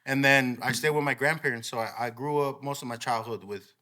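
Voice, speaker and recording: A man's voice: medium pitch, fairly even intonation, brisk, sharp tone. A young man who grew up partly in El Salvador, chatting casually as a guest musician and often saying "bro.". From California, childhood in El Salvador. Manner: laid-back, says "bro" a lot, laughs easily. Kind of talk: casual podcast chat.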